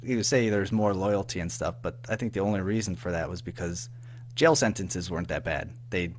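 A man's voice: sits low, moderate pace, slight background noise. An American man giving a casual interview as a guest, speaking in an informal, hesitant, rambling way. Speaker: interview guest, audio drama writer and producer.

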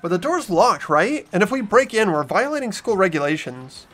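Visual novel schoolboy lead, acted: youthful, earnest, anxious, animated intonation, music underneath. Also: mid-range voice, normal speed. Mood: worried. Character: earnest teenage schoolboy narrator, easily startled, anxious. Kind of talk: visual novel dub, narration and dialogue. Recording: background game music.

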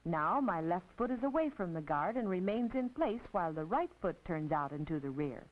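An American woman narrating an instructional film as a teacher, in a precise, didactic, scripted manner with measured phrasing. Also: fairly low pitch, animated. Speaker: narrator and physical education instructor. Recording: tinny, band-limited 1950s film soundtrack.

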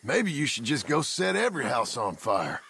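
Gravelly, sardonic cowboy outlaw. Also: male voice, medium pitch, sing-song, normal speed, pushed and bright, slight background noise, heavily acted. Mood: sarcastic. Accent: American. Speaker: gunslinger protagonist in a western video game. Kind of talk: western video game acting.

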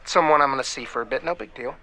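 An actor playing an easygoing, kind, joking baseball player in a naturalistic romantic comedy audio drama. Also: male voice, medium pitch, rapid-fire, pushed and bright. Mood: casual, teasing. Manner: casual, joking, frank.

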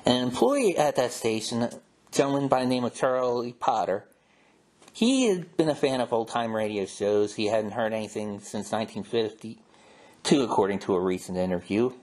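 A man's voice: mid-range voice, lively intonation, moderate pace, darkish tone. A man hosting a show, talking in a casual, informative way. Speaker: host. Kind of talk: casual informative talk on old radio show history.

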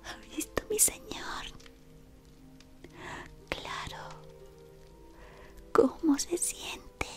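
Fawning, sinister female servant of a dark lord, whispered by a woman, slow hushed ASMR roleplay. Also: faint music behind. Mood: sinister devotion. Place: dim room indoors.

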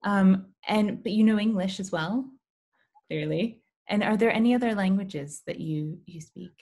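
A young adult woman interviewing a guest over a video call, asking gentle questions. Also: medium pitch, normal speed, slight background noise. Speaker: interviewer, host. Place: room with red banner.

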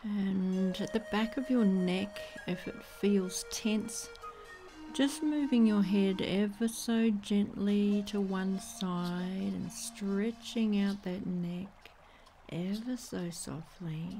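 A woman leading a guided body scan meditation in a soothing, gentle way, leaving pauses between phrases. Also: medium pitch, rough recording. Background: music.

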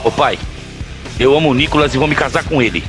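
A man's voice: mid-range voice, fast, raised voice, music in the background, natural voice. An actor playing a young man, a defiant and distraught son in an audio drama, pleading and accusing.

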